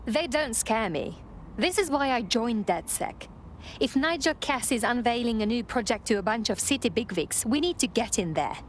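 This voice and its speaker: A woman's voice: fairly high pitch, animated, normal speed, a little hiss or hum, close to an everyday voice. A woman playing a cool, determined resistance operative with a terse, resolute manner in a video game.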